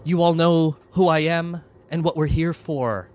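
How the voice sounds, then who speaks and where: A man's voice: on the high side, steady tone, a little slow, a little mellow, voice pushed up, lightly acted. A man voicing a gloating, fanatical cult leader who speaks in grand, ceremonial announcements in a tabletop game. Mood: grand, solemn.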